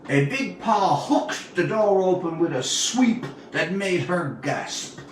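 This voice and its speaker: A man of about seventy from Newfoundland, Canada, reading his own tale aloud as a storyteller, with deliberate, dramatic pauses. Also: high-pitched, animated. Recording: roomy, distant mic, faint background noise.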